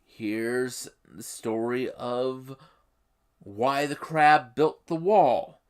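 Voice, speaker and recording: A YouTube host giving explanatory commentary on a game setting, speaking emphatically and haltingly, with pauses. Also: mid-range voice, clean tone.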